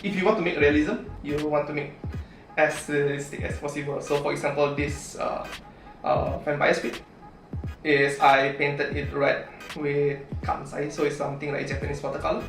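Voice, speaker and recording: A man's voice: a little high, little pitch movement, normal speed, vocal fry, fairly bright tone. A man from Indonesia with an Indonesian accent, chatting casually as an interview guest in a chatty, easily amused manner. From Palembang, Indonesia. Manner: chatty, often chuckling as he talks. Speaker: interview guest, origami artist. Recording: roomy echo, distant mic, faint music.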